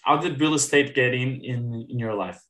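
Podcast host in a roomy headset call. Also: male voice, mid-range voice, moderate pace, a bit bright. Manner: upbeat, repeats words when asking. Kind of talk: interview hosting. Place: indoor room. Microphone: headset on a video call.

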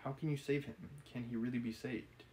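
A frightened young woman from a novel, defiant and indignant, read by a man in his own mid-pitched voice. The lines come urgently over clear background noise. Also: fairly even intonation, a little fast, warm tone, close to an everyday voice, poor audio. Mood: anxious.

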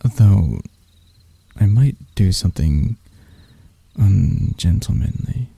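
A man voicing a deranged, seductive spider vampire in an intimate ASMR roleplay, soft and breathy, teasing and theatrical, doting yet menacing. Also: sits low, expressive, dry recording, full character voice. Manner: slow, teasing, theatrical, with dark chuckles.

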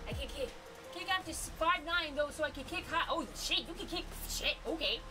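A woman in her twenties, live-streaming from a gaming room with a desk mic, bubbly and excitable, laughing often. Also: sits high, a little fast. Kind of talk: casual live-stream chat. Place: gaming room lit with colored lights. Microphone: desk mic with headset. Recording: music under the voice. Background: background music.

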